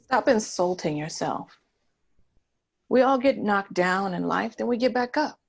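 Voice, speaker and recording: A woman's voice: medium pitch, animated, a little slow, creaky. A woman playing a patient, encouraging trauma therapist in a screenplay table read. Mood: earnest, reassuring.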